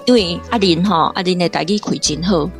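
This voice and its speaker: A woman's voice: fairly low pitch, fairly quick, faint music behind. A woman with a Taiwanese accent giving conversational literary commentary in an explanatory way, like a guest academic.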